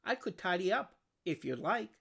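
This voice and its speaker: A storybook reader voicing a prim, kindly mother who is polite and gently correcting. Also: mid-range voice, sing-song, normal speed, creaky, breathy edge, full character voice. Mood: polite concern.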